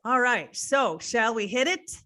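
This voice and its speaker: A woman hosting, giving an informal introduction in a chatty, deliberate way. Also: a little high, lively intonation, heavy background noise. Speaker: host and theater company director.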